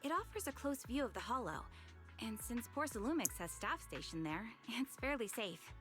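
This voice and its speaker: A polite, easily flustered female game character speaks in a high, very animated voice. She sounds like staff advising travellers, with faint music behind her. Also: normal speed. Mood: helpful. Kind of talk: video game voice acting.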